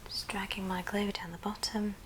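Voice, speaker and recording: A woman whispering a gentle, breathy, step-by-step ASMR craft tutorial. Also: medium pitch, level tone. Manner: slow, gentle step-by-step instruction. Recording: noisy background, handling clicks.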